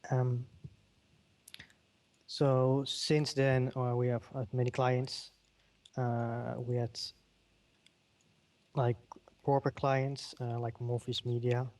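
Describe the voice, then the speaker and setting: A middle-aged man with a Dutch accent gives a keynote, pausing often with ums and uhs. His voice sits at an ordinary middle pitch. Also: darkish tone, slight background noise. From Netherlands. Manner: halting, many ums and uhs. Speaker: keynote speaker. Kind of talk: conference keynote in a virtual world.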